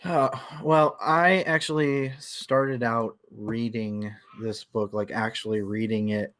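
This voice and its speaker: A man's voice: medium pitch, fairly even intonation, takes it slowly, a bit bright. A man co-hosting a book club livestream, informal and playfully mock-formal in his delivery. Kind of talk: casual show hosting.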